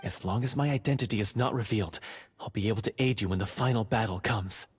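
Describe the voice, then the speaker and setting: An actor playing a secretive male dungeon guard who is a covert ally, speaking in a hushed, urgent, earnest way. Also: on the low side, fast, breathy edge. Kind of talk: game voice acting.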